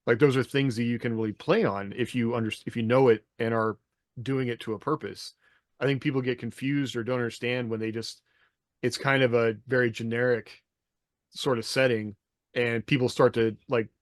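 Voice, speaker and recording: A man's voice: mid-range voice, fairly even intonation, a little fast, no echo, clean studio sound. A middle-aged man in a casual podcast discussion, thinking aloud in a loosely structured way. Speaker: podcast co-host. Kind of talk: casual panel discussion of writing. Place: indoor room on a video call.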